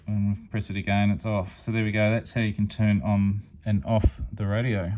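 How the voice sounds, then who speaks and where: A man with a fairly low voice walks through a product demonstration in a casual, hands-on style. He explains things step by step and stays matter-of-fact, like a hobbyist reviewer hosting a channel. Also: level tone, normal speed, background noise. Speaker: amateur radio reviewer and channel host.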